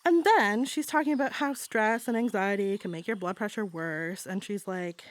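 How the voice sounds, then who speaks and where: A woman's voice: medium pitch, moderate pace, no room sound, close to an everyday voice. A woman playing an indignant, chatty eleven-year-old girl who is easily delighted, in a theatrical monologue.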